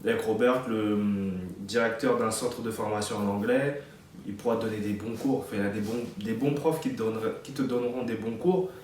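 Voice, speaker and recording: A man's voice: lowish voice, fairly quick, darkish tone, very echoey, far from the mic, a little hiss or hum. A man in his late twenties from France, giving casual advice in a chatty vlog, with many fillers and restarts. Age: 20s. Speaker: vlogger.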